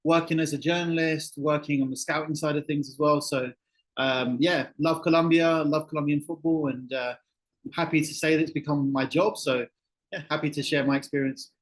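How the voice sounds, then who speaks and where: English-born football journalist, middle-aged, slightly high voice, easygoing podcast guest. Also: male voice, moderate pace, poor audio. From England. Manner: relaxed, thoughtful, restarts phrases. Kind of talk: podcast interview answers. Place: Colombia, remote call.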